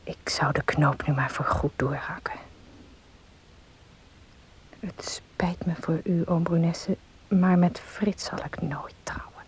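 A woman's voice: lowish voice, steady tone, slow, long pauses, in a whisper. An actress playing an earnest, devout and resolute young woman in a radio drama, with polite, formal diction. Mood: solemn, determined. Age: young adult. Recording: noisy old radio play recording.

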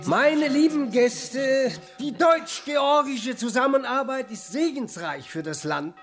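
High-voiced pompous church official. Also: male voice, takes it slowly, fairly bright tone, faint music behind, exaggerated voice. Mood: solemn. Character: pompous male church official soliciting money. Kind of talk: formal speech in radio drama.

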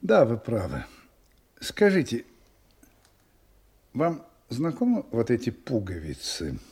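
An actor playing a courteous, methodical detective in an audio drama, with a deliberate, probing manner. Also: male voice, mid-range voice, wildly swooping pitch, a little mellow, slightly rough, heavily acted. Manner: polite, probing, deliberate questioning. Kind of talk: Russian audio drama acting.

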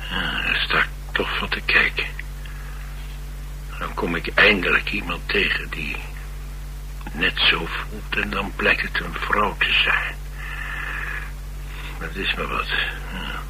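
A man's voice: low voice, slow pace, pauses often, sharp tone, exaggerated voice, low-quality recording. A man with a Netherlands Dutch accent playing a blunt, world-weary chauffeur in a radio drama, confiding and sardonic. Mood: astonished, confiding. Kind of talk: radio drama acting, colloquial storytelling. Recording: radio drama with faint hum and noise.

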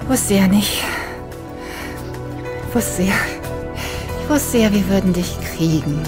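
Capricious female assassin for radio thriller: mid-pitched, very slow, halting, detached, over music. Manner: halting, clipped fragments. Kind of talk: radio play acting.

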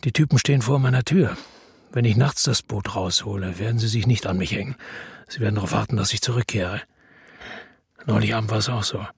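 Husky, weary crime-drama narrator, low and dry. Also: male voice, fairly quick, no echo, heavily acted. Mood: grave, measured. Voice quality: husky, rough. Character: Fabio, weary determined Marseille man, crime story narrator. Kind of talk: German radio crime drama, first-person narration and dialogue.

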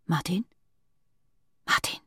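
A woman's voice: takes it slowly, no room sound, clean studio sound. An actress playing an anxious woman on the run in a naturalistic radio drama, direct and full of questions. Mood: uneasy, probing. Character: probing, anxious woman fugitive, an unmasked agent. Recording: loud vehicle and street ambience noise.

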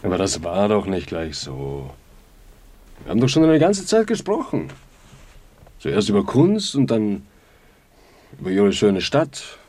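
Pompous lecherous fascist druggist in a radio play, husky, swooping up and down, preachy slogans. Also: male voice, fairly low pitch, sing-song, a little slow, pauses often, warm tone. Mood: ranting. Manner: declamatory, sloganeering.

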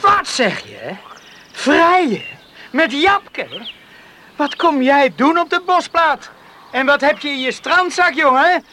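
An actor in a Dutch radio play as a blunt, commanding countryman, quick-tempered but tender with his sweetheart. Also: very high voice, sing-song, sharp tone, slight background noise, full character voice. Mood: angry, accusing.

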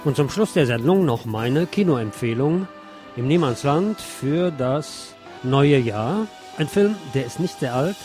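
A man's voice: mid-range voice, expressive, unhurried, music in the background. A man presenting scripted radio news, reading reports and adding wry, sardonic asides.

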